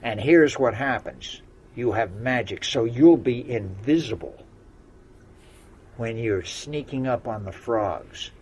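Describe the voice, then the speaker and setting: A man's voice: medium pitch, takes it slowly, darkish tone, a little hiss or hum, natural voice. A man voicing a wicked scheming witch. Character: wicked witch giving orders, cruel.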